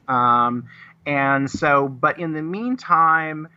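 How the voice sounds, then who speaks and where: A man speaking as a guest in a casual live interview, halting and rephrasing as he explains. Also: on the high side, bright, forceful tone, clear, resonant tone, no room sound. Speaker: radio guest, audio drama producer. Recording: dull, lo-fi remote connection.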